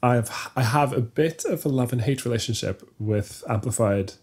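A young man, a native Norwegian speaker and singer, co-hosting a casual video-call chat, explaining things in a measured way with hesitations. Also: medium pitch, darkish tone, husky. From Norway. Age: young adult. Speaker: podcast co-host, singer. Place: small room at home. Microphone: headphones with studio mic on video call.

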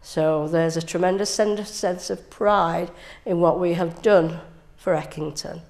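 Older woman, scout leader, lowish voice, earnest and full of ums. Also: fairly even intonation, gravelly, darkish tone. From England. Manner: earnest, halting with many fillers. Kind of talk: interview. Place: scout hall indoors.

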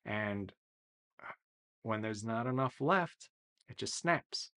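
A man in his thirties explaining sailing gear casually to the camera, relaxed and enthusiastic, often saying "really" and "uh", recorded in a small office at desk distance. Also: lowish voice, slow pace. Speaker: sailing vlogger explaining boat repairs.

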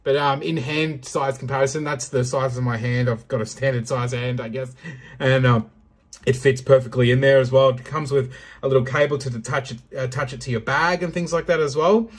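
A man giving a casual, loosely worded product review in a slightly husky voice. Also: mid-range voice, fairly even intonation, a little fast.

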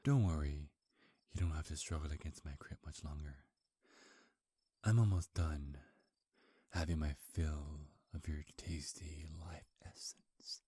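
A man playing a hungry, seductive vampire in an ASMR roleplay, murmuring and whispering close to the mic, breathy and softly menacing. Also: very deep voice, no room sound, heavily acted. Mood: satisfied, reassuring. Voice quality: husky, breathy. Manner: slow, coaxing, softly menacing. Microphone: close microphone.

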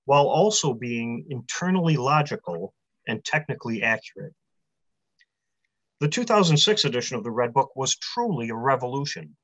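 Casting: man in his forties, steady prepared talk, dry, slightly dull webcam sound. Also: mid-range voice, no room sound. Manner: measured, list-heavy delivery. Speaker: publisher presenting a convention talk. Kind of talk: prepared talk read aloud. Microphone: webcam on a video call.

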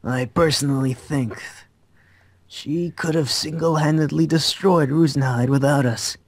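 A man's voice: fairly high pitch, fairly even intonation, unhurried, dry recording. Man voicing a bossy, impatient anime mage. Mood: musing. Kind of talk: amateur anime visual novel dub.

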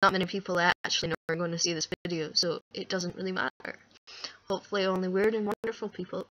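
A young woman with a crackly voice, chatting casually and rambling self-deprecatingly into a webcam up close. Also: mid-range voice. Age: young adult. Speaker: vlogger. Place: small dim room indoors. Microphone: webcam or laptop microphone up close.

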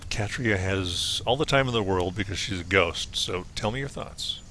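A man with a hoarse voice giving relaxed, playful let's play commentary as he explores a game. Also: low voice, normal speed, slight background noise. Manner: relaxed, musing, playful asides. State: hoarse, losing his voice. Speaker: game streamer.

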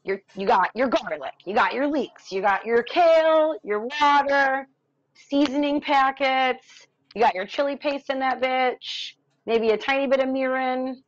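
A woman teaching a friend a recipe over a video call from her own kitchen, calmly listing the steps. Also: high-pitched, a little slow, no room sound, muffled recording. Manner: relaxed, plain, listing steps. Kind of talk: casual instruction over a call. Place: home kitchen, indoors.

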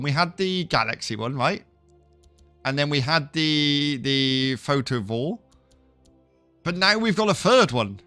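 A man's voice: on the high side, fairly bright tone, dry recording. A man hosting a casual gameplay video, chatty and self-deprecating, thinking aloud, still getting over a cough. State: recovering from a cough. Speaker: gaming video host.

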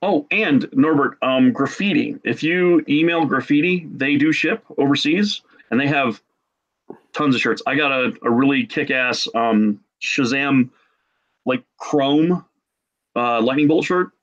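A man's voice: mid-range voice, measured pace, fairly bright tone. A man in his forties telling casual stories in a chatty, jokey way over a video call. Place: home room. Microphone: computer mic on a video call. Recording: dull video-call audio.

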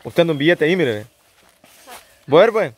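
Playful Salvadoran man, slightly high voice. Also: big pitch swings, a little fast. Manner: playful, prying. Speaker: vlog host asking questions behind the camera. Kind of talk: casual teasing chat. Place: outdoors under a tin-roofed shelter by a fence.

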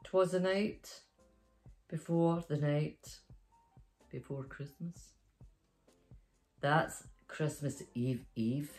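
A woman's voice: deep voice, very slow, long pauses, darkish tone, soft background music. A middle-aged or older Scottish woman, a grandmother, chatting playfully and reading a story to children in a bedroom. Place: small bedroom, indoors.

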